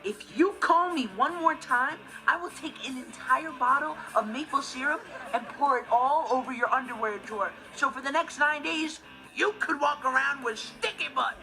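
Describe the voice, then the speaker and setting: A young man acting as an earnest teenage stepbrother in a sitcom. His voice sits fairly high, and he is wordy, animated and quick to turn indignant. Music is clearly heard behind him. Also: lightly acted, low-quality recording. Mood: exasperated. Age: teenager to young adult. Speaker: sitcom lead. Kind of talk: sitcom monologue to audience.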